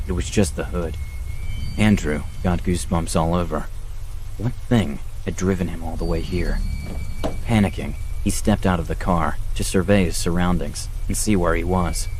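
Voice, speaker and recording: A man's voice: low-pitched, dark tone, slight background noise. A man reading a scripted horror story as its narrator.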